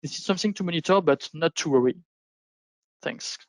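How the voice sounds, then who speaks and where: A man speaking as an investment panelist, giving formal, measured, prepared-sounding explanations on a conference call. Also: fairly high pitch, dry recording. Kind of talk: prepared roundtable commentary on markets.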